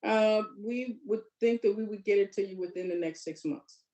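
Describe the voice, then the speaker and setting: A woman's voice: mid-range voice, normal speed, mellow. A woman official giving careful, formal answers at a hearing. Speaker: government aviation official testifying. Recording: echoey, muffled lo-fi audio.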